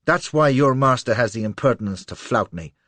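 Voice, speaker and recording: A man voicing a haughty royal favourite woman with a rich, husky voice who speaks in a commanding way. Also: low voice, animated, normal speed, no room sound. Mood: wrathful. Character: imperious, beautiful royal favourite woman, contemptuous.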